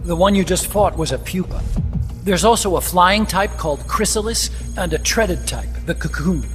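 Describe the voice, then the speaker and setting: Man playing eager male weapons scientist, highish voice, emphatic lecturer, game cutscene, music underneath. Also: normal speed, natural voice. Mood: matter-of-fact explaining. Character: eager, talkative male weapons scientist explaining his machines. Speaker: weapons engineer character. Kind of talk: dramatic game cutscene dialogue. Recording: long reverberant hall, music underneath. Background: prominent background music.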